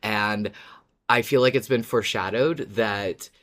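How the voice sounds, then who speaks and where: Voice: mid-range voice, a little slow, fairly bright tone. A podcast co-host who talks informally about a favourite show, in both recap and fan discussion. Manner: informal, sweary, often laughing. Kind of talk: scripted recap, then casual fan discussion.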